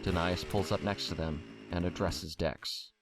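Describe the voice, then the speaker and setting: A man's voice: deep voice, a little slow. A man reading scripted narration for an audio drama. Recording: noisy background ambience.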